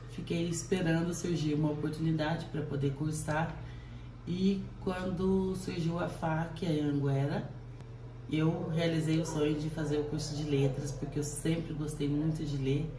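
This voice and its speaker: A man who teaches language and literature, calmly recalling his studies in a documentary interview. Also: a little high, background noise. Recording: roomy and distant from the mic.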